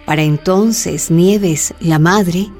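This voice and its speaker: A woman's voice: lowish voice, a little mellow. Woman narrating a radio drama over music. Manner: storytelling, dramatic pauses.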